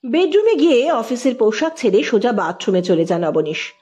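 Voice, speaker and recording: A woman's voice: on the high side, big pitch swings, normal speed, mellow, highs cut off. A woman reading literary fiction aloud as a scripted, dramatic audio story narration.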